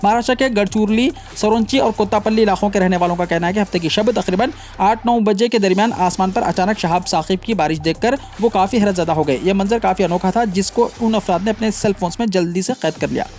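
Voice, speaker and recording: A man's voice: high voice, fast talker, few pauses, darkish tone, soft background music. A man reading scripted news in a formal manner, delivering headlines and stories as a news reader.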